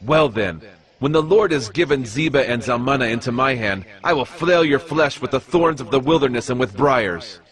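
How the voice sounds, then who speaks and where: A man's voice: mid-range voice, expressive, moderate pace, a bit bright, natural voice. A man playing a bold, commanding Israelite war leader in a dramatized audio Bible, speaking in declamatory tones. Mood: stern, threatening. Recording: voice over background music.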